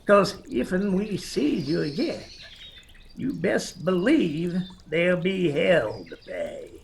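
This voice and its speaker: A menacing backwoods Confederate in a radio drama, high-pitched and gravelly. His Appalachian drawl is very slow and mocking, stretching out each threat. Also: sing-song, heavy background noise, heavily acted. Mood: threatening. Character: menacing, taunting backwoods Confederate militia man.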